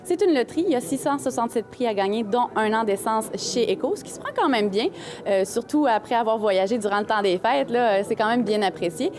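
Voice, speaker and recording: A young woman from Quebec with a Quebec French accent, answering an interview clearly and poised as a carnival duchess in a shopping mall. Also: on the high side, expressive, brisk, a little mellow. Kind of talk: interview in public place. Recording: crowd noise in mall. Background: crowd chatter.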